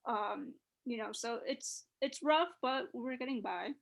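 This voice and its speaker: A woman's voice: fairly high pitch, a little slow, vocal fry. A woman in her early thirties, a podcast guest, talking chattily with many "you know" fillers. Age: 30s. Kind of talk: casual chat.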